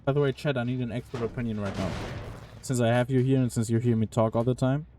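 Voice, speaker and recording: Young male game streamer, mid-pitched and mellow, chatty and casual. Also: fairly even intonation, quick pace, slight background noise. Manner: puzzled, chatty, talks to chat. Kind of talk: casual live-stream commentary while playing.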